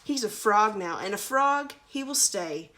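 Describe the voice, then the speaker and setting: Woman putting on a loud, gloating wicked fairy. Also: mid-range voice, expressive, measured pace, background noise, lightly acted. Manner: gloating, self-satisfied.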